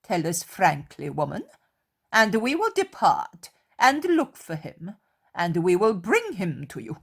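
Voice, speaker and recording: A sly male Pharisee who coaxes with false kindness, unhurried. A woman voices him in a storybook. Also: lowish voice, huge pitch swings, gravelly, slightly rough, heavily acted. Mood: coaxing. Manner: insinuating, coaxing.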